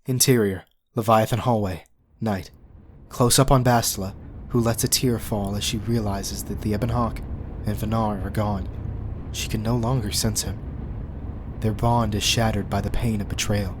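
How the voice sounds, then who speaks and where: A man's voice: fairly low pitch, steady tone, moderate pace, noisy background. A man narrating a scripted audio drama, reading scene directions in a dramatic manner.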